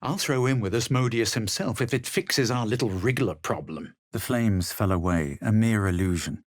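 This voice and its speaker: Man acting a deep, haughty fantasy adventurer. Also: no room sound, lightly acted. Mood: brooding, grave. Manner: measured, superior, dry. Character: haughty young male fantasy adventurer, self-assured, brooding. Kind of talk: video game voice acting, dramatic narration and remarks.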